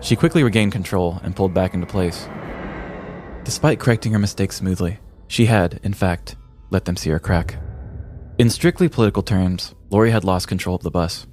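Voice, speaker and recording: An American man reading a scripted comedic story in a deliberate, theatrical storyteller's manner. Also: low voice, darkish tone, soft background music. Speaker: podcast host telling a story.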